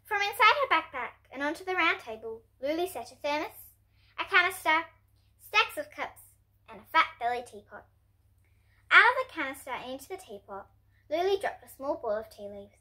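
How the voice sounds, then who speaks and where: Young girl, storybook read-aloud, bright tone, animated, expressive delivery. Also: high voice, normal speed, low-quality recording. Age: child, about ten to twelve. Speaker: host reading picture books for kids. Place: indoor room in front of bookshelves.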